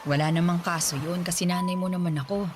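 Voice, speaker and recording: A woman with a Filipino accent playing a protective, teasing, expressive widowed mother in a Tagalog radio drama. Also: on the low side, fast talker, over music, natural voice. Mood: concerned, teasing. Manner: expressive, motherly, half-joking menace.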